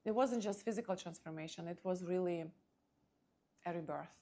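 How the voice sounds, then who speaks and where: A woman's voice: fairly low pitch, warm tone. Ukrainian-accented woman giving a motivational talk. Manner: emphatic short phrases with dramatic pauses. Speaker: podcast host and motivational speaker. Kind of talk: motivational monologue.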